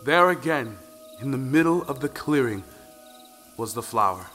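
A man's voice: mid-range voice, lively intonation, moderate pace, fairly bright tone, long reverb tail. A man narrating a storybook audio play in a dramatic style. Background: faint music.

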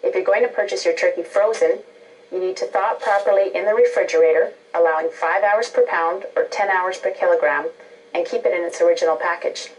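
A woman, giving clear informational tips as a spokesperson on an old TV tape. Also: on the low side, fairly quick. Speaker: turkey producers' spokesperson. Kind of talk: informational TV presentation. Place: indoor kitchen TV set. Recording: distant mic, old TV broadcast tape.

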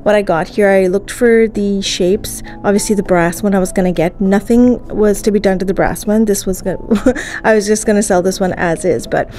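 A woman's voice: medium pitch, normal speed, warm tone, soft background music. A woman in her thirties vlogging casually, chatty and rambling, her voice strained from being sick. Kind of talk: casual thrift vlog. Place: parked car, daytime. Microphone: phone at arm's length.

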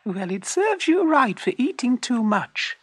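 A smug little storybook weasel, played by a narrator, with a high, slightly rough voice. It gives a mocking, matter-of-fact scolding with no sympathy at all. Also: big pitch swings, measured pace, no echo. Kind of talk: children's storybook character voice.

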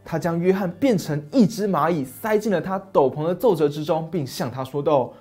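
A man in his thirties narrating a fairy tale and explaining it, steady and expressive. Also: a little high, normal speed, soft background music. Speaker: storyteller host. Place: dim study set, indoors. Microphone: lapel mic.

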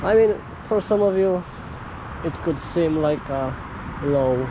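A young man whose first language is Polish, a truck driver vlogging casually outdoors at parking spots. He talks in a halting way with many fillers. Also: on the high side, animated, lo-fi sound. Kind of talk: casual vlog talk to camera. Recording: outdoor vlog audio with road noise.

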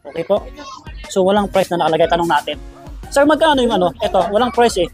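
Male vlogger, middle-aged, high voice, upbeat and chatty, casual market-tour delivery over music. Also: animated, quick pace. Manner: upbeat, chatty, addresses viewers as friends. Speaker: vlogger, host. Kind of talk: casual shopping vlog narration. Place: busy public market and gadget stalls.

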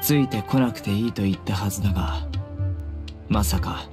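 A man's voice: lowish voice, moderate pace, over music, lightly acted. A man playing a stern, reserved young desert lawman in a video game, terse, formal and stoic. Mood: guarded.

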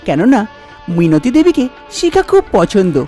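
A woman's voice: mid-range voice, sing-song, normal speed, warm tone, faint music behind. A woman narrating a scripted cartoon story.

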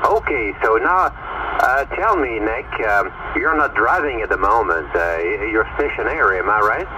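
A man from Quebec chatting courteously and haltingly over a CB radio transmission. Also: on the low side, animated. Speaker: CB radio operator. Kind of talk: informal radio contact chat. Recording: very noisy, distant CB radio reception.

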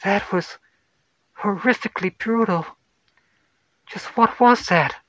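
Man voicing an anxious spacefaring woman, raised pitch. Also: takes it slowly, a bit bright, no echo. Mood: shaken. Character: anxious woman science officer on space crew. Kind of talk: dramatic sci-fi horror audio story.

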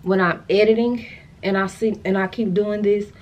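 An American woman in her thirties chatting casually to camera in a sassy, slangy, animated way, as a vlogger showing a haul. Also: mid-range voice, normal speed, muffled recording, a little hiss or hum. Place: furnished room at home, indoors.

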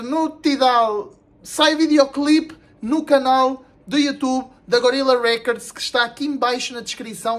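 Young Portuguese man, blunt and animated. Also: very high-pitched. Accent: European Portuguese. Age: young adult. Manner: animated, slangy and blunt, with swearing and repetition for emphasis. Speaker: video creator and musician. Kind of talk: casual vlog announcement to camera. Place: small room indoors at home.

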